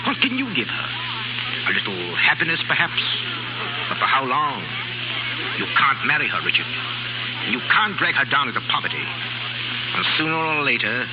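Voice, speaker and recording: An actor playing a wealthy, persuasive older baron in a 1930s radio drama, smooth and insistent in his theatrical delivery. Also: mid-range voice, sing-song, normal speed, pauses often, loud music behind the voice, natural voice. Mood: insistent, coaxing. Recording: old scratchy 1930s radio broadcast, muffled.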